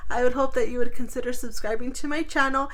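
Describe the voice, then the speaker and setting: A woman with a fairly high voice talks as a beauty vlogger. She is chatty and informal, speaking straight to her viewers in a casual product review. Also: fairly even intonation, quick pace, a bit bright.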